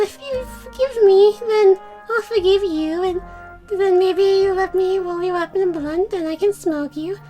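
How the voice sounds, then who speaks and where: Woman acting a cartoon girl, extremely high girlish voice, cheeky, flustered, rambling, faint music. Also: darkish tone. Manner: rambling, hesitant, giggly. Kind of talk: improvised cartoon parody dub.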